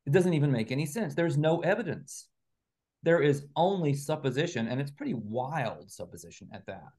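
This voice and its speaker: A man's voice: fairly high pitch, lively intonation, moderate pace, darkish tone. A man talks as a guest in a conversational interview. He lays out abstract ideas in an assertive, articulate manner, stressing his points as he goes. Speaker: interview guest, writer and researcher. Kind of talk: conversational exposition of spiritual and speculative ideas.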